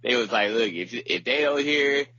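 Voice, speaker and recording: A man's voice: mid-range voice, moderate pace, bright, forceful tone, dry recording, highs cut off. A man chatting casually as a podcast co-host, in slangy talk that often circles back to repeat itself. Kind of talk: casual chat about hip hop.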